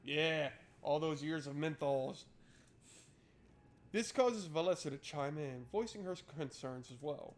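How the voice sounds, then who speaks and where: A man's voice: a little high, lively intonation, measured pace. A man streaming a game, switching between reading narration aloud and casual, irreverent, sweary joking commentary.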